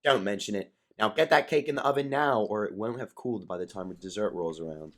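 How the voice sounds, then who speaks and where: A man's voice: lowish voice, quick pace, fairly bright tone, close to an everyday voice. A man playing a genial, confident house chef in an audio drama, familiar and kindly bossy. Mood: genial, teasing.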